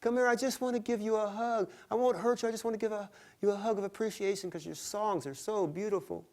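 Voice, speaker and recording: A giant woman who lives in a lake, syrupy and smothering, coaxing in a high, sweetened tone, as a male storyteller puts her on. She pushes her affection with a pleading sing-song. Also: fairly even intonation, fast talker.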